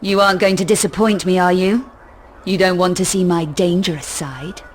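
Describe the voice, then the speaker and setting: A woman's voice: lowish voice, steady tone, moderate pace, slight background noise, natural voice. A woman playing a self-assured, threatening young adventurer in a video game, speaking in a cool, dry, sardonic way. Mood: cool, menacing. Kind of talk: video game cutscene voice acting.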